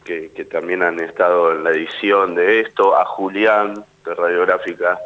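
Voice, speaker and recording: Low-voiced Argentine man chatting in an interview. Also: flat delivery, normal speed, a bit bright. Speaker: actor, interview guest. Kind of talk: casual radio interview talk.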